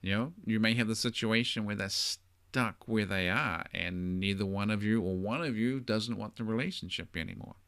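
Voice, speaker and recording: Middle-aged New Zealand man, podcast host, relaxed advice monologue, somewhat low pitch, conversational hedging. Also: normal speed, gravelly, smooth. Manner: thoughtful, conversational, with frequent hedging fillers. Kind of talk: casual solo monologue.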